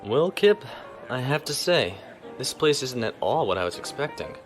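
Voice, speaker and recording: A man's voice: medium pitch, sing-song, normal speed, faint music behind, close to an everyday voice. An actor playing a stiff, formal young male naval officer who speaks properly and reservedly.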